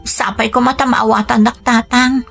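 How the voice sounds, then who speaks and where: An actress playing a dutiful, weary young Filipina daughter with an Ilocano accent, earnest and plaintive in a radio drama. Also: female voice, fairly high pitch, steady tone, normal speed, vocal fry, fairly bright tone, faint music behind. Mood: earnest, pleading. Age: young adult.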